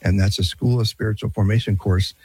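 Husky low-voiced male radio host. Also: steady tone, brisk, mellow, no room sound. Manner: friendly, conversational pitchman. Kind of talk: hosting and promo read.

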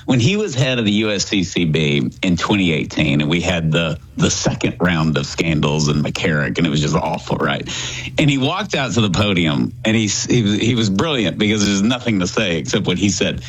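Earnest, animated lower-voiced man. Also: low-quality recording. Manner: earnest, animated, laughs easily. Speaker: guest. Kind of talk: talk radio conversation.

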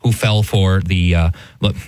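Low-voiced male news host, dry sound. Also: fairly even intonation, measured pace, a little mellow, clear, resonant tone, dry recording. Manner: restarts and repeats words, emphatic. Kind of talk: conversational news commentary.

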